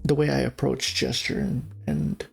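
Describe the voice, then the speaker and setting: Gravelly man with a sore throat. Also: medium pitch, flat delivery, normal speed, soft background music. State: recovering from a cold, sore throat. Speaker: art class livestream host and instructor. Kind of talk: casual livestream instruction.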